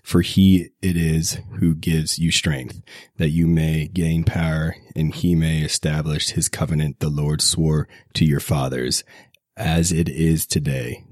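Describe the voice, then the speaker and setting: A very deep-voiced man reads scripture aloud for his podcast, his delivery flat and even. He is still getting over a cold, and he reads steadily, correcting his slips as he goes. The recording is dry and close, with no room sound. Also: no room sound. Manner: steady reading with self-corrections. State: recovering from a cold. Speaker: podcast host reading scripture. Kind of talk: scripture reading.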